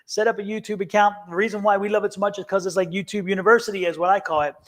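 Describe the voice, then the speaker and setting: Guy with a pretty high voice hosting a live stream, friendly and jokey. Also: little pitch movement, racing, fairly bright tone. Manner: friendly, jokey, laughs often. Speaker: tarot reader host. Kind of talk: casual live-stream chat.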